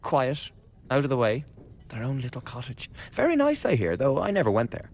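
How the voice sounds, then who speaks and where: An actor playing a glib, scheming young revolutionary in a radio drama, chatty and insinuating with a blackly flippant manner. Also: male voice, mid-range voice, huge pitch swings, normal speed, darkish tone. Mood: mock-sorrowful, sly. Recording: studio radio drama with background ambience.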